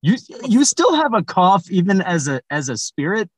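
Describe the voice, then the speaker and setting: A man hosting a comic podcast as the polite, halting straight man. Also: high-pitched, sing-song, slightly rough, dry recording. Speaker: podcast host. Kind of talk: comic interview in an audio play.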